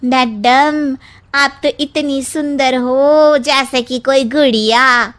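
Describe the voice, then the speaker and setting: Woman playing a bright, high-voiced, wistful cartoon housemaid. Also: fairly even intonation, slow pace. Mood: gushing admiration. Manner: gushing, plaintive. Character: wistful, flattering housemaid who longs to be beautiful. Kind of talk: cartoon family story acting.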